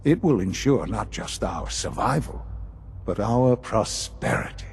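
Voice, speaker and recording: An actor playing a grave older institute leader in a video game, speaking formally and deliberately with measured pauses. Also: male voice, lowish voice, animated, gravelly, slight background noise. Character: grave older male leader of a secretive science institute, dying.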